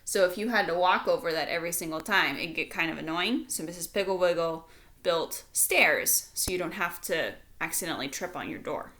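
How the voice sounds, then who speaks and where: A woman's voice: lowish voice, expressive, a little fast, fairly bright tone. A woman teacher reading a children's storybook aloud, with casual explanatory asides addressed directly to young listeners.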